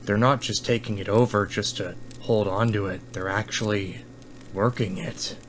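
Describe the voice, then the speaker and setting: A man with a lowish, very even voice plays a tabletop roleplaying game, reasoning in character. Faint noise sits behind him. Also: moderate pace.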